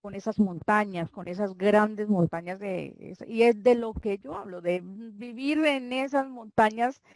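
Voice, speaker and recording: An older woman from Colombia with a Santander accent, a guest author chatting in an interview, talking warmly and rambling with frequent restarts. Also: mid-range voice, unhurried, no echo. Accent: Colombian Spanish, Santander. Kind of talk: casual interview chat.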